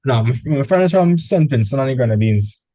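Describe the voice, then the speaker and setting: Man, Trinidadian accent, math tutor, mellow tone, dry close sound, casual chatty delivery. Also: medium pitch, fairly quick, dry recording. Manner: relaxed, chatty, repeats words when starting. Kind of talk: casual online tutoring session. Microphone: video call.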